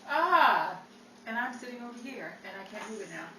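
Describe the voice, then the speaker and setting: A woman's voice: mid-range voice, racing, vocal fry, bright, forceful tone. A woman who works as announcer and director for a radio drama production. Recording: echoey, distant, noisy room with people.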